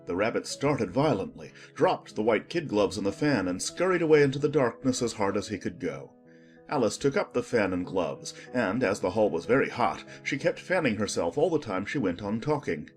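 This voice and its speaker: Male narrator, audiobook storytelling, expressive, very varied pitch, faint musical backing. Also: moderate pace, faint music behind.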